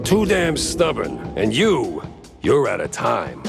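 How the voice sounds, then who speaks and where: A gravelly cartoon mouse detective, stubborn and full of sardonic cracks. The pitch leaps around hugely in a hardboiled noir game style, with prominent music behind it. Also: male voice, huge pitch swings, takes it slowly, exaggerated voice. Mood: confident, mocking. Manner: sardonic, wisecracking.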